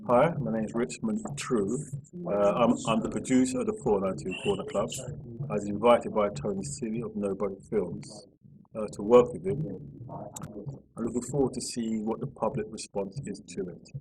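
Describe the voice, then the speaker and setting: A man's voice: on the low side, steady tone, moderate pace. A man speaking as a producer, giving an informal introduction of himself. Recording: distant mic, clearly noisy.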